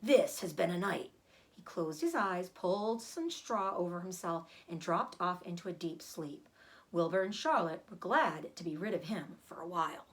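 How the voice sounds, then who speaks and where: Woman, storybook narration, animated, expressive, widely varied pitch, teacher reading aloud. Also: normal speed. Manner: animated, acts out dialogue.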